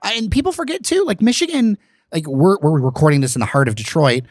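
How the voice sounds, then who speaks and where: A man's voice: a little high, expressive, fast, no echo, clean studio sound. A man hosting a podcast, chatty and full of fillers in a casual interview. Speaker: podcast host.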